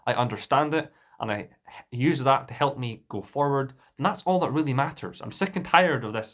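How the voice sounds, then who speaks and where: A man's voice: mid-range voice, fairly bright tone. A young man from Scotland with a mild Dundee accent, talking straight to camera in an indignant, defensive monologue full of restarts. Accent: Dundee Scottish, mild. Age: young adult. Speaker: vlogger.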